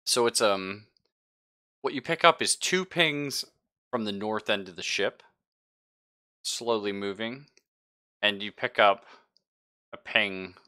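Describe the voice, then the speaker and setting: A man narrating casually as game master of a tabletop game, in an informal, halting way with pauses. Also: fairly low pitch, bright, forceful tone, clean tone.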